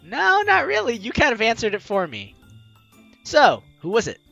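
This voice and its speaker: A woman's voice: fairly high pitch, huge pitch swings, moderate pace, vocal fry, fairly bright tone, lo-fi sound, faint music behind. A woman hosting a game playthrough, reading the narration aloud expressively with playful, giggly commentary. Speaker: gaming video host, player reading aloud.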